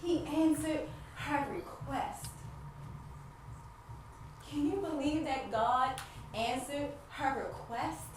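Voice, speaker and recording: An actress playing a devout wife who struggles with infertility, anguished yet hopeful, in an emphatic stage monologue with repeated phrases and dramatic pauses. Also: female voice, high voice, fairly even intonation. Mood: awed, earnest. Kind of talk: theatrical stage monologue addressed to the audience. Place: stage play in a large echoey room. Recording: echoey hall from far off, background noise.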